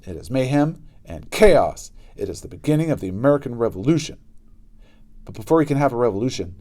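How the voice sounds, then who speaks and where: A man narrating a children's book aloud in an expressive style with dramatic emphasis. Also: mid-range voice, a little fast. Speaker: storybook reader.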